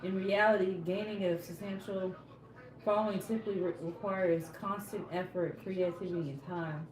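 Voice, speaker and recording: A woman's voice: medium pitch, fairly even intonation, moderate pace. Playful woman co-host reading comments. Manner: playful, teasing. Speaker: co-host of a live cooking stream. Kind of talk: casual live-stream chat, reading viewer comments aloud. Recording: echoey room with crowd noise.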